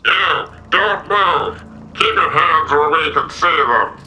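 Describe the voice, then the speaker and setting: A man's voice: fairly high pitch, slow, sharp tone, slight background noise, exaggerated voice. A man playing an officious, suspicious corporate security guard captain in an audio drama. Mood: commanding, suspicious. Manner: officious, barking orders.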